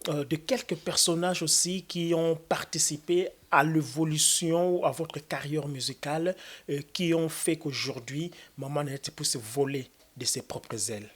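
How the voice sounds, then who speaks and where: A man's voice: on the high side, fairly even intonation, unhurried, breathy edge. Courteous Congolese man interviewing. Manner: courteous, rambling questions with many hesitations. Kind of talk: formal interview.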